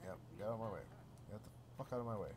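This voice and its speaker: A man's voice: fairly low pitch, very fast, noisy background. Stuffy man narrating his gameplay. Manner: relaxed, easily amused, mild swearing. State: slightly stuffy with a cold. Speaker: gaming channel host. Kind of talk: casual let's play commentary.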